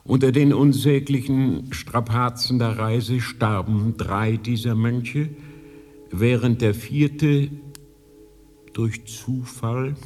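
An actor playing an ancient, frail High Lama in a radio drama, soft and courteous with a slightly melancholic manner. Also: male voice, close to an everyday voice. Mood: solemn storytelling. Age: older. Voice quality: soothingly soft. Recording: long hall reverb.

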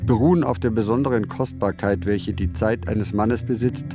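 An actor playing an overlooked, dutiful valet's assistant in a radio play, speaking formally and precisely. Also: male voice, fairly low pitch, moderate pace, music in the background, close to an everyday voice. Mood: earnest, dutiful.

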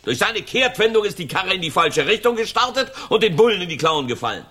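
A man's voice: sits high, big pitch swings, fairly quick, sharp tone, breathy edge, heavily acted. An actor playing a hard-nosed, calculating gang member in a radio crime drama, emphatic and lecturing in his delivery. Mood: scornful, lecturing.

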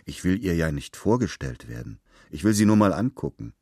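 Casting: male narrator as eager young pal, bold and brisk, low register, dry studio sound. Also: sing-song, gravelly, mellow, breathy edge, no room sound, exaggerated voice. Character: lively, bold sidekick, eager and curious young man.

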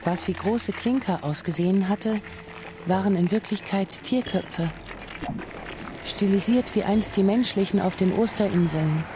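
A woman reading scripted literary narration for a radio play, measured and descriptive in her delivery. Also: medium pitch, music in the background.

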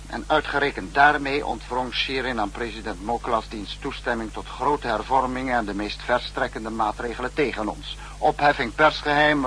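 A stern, analytical leader of a spy state played by a male actor lectures formally in 1960s radio drama style. His mid-pitched voice sits in a faintly noisy, humming old recording. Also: a bit bright, natural voice. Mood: grim, reproachful.

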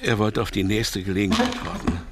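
An actor as the narrator of a German radio crime drama, reading scripted narration in a sober, tense way. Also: male voice, on the low side, wildly swooping pitch, a little fast, over a noisy crowd.

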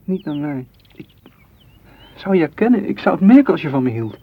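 A man's voice: a little high, big pitch swings, a little fast. A man playing a frustrated, hurt lover, intense and reproachful, in a radio play. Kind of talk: Dutch radio play acting. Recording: dull, faintly noisy old radio recording.

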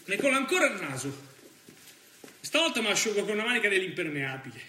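An actor playing an anxious young Roman militant in a theatrical monologue, halting and colloquial, with a Roman accent. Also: male voice, high voice, sing-song, pushed and bright, full character voice. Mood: tense. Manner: halting, repeating himself, colloquial. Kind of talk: theatrical first-person stage monologue. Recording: roomy stage sound, distant mic, faint noise.

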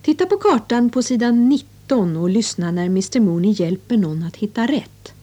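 A woman's voice: mid-range voice, lively intonation, dark tone. A woman presenting a children's English lesson with scripted narration and instructions.

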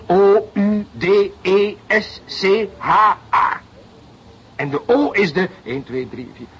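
Felle jonge rechercheur, zeer beweeglijke stem. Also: male voice, sits high, fairly bright tone, slight background noise, full character voice. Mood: excited. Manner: theatrical, sarcastic, emphatic. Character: eager, exasperated young male police detective. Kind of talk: Dutch radio play acting.

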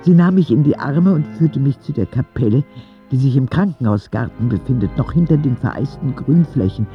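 A man's voice: mid-range voice, expressive, brisk, highs cut off, faint music behind, natural voice. A man playing a candid, eager young boy who narrates his story confidingly in a radio drama. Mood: earnest.

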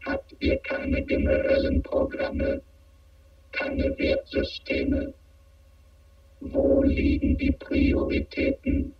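High monotone alien interrogator. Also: male voice, takes it slowly. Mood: cold, probing. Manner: flat, clipped, insistent questioning. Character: cold emotionless alien interrogator probing human feelings. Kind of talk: science fiction radio drama acting. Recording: echoing, dull band-limited sound.

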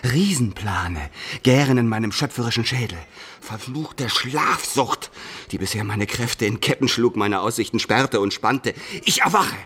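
An actor playing a boastful, scheming student in a German radio play, mocking and grandiose, with theatrical declamation. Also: male voice, medium pitch, lively intonation, a little slow, husky. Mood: incredulous, grandiose. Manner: theatrical, declamatory, swinging from conspiratorial to shouting.

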